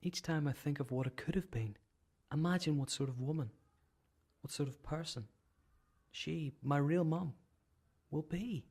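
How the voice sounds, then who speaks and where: An actor playing a brooding, wounded young son in a radio drama monologue. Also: male voice, fairly high pitch, unhurried, a little mellow, breathy edge. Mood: lost, brooding. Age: young adult.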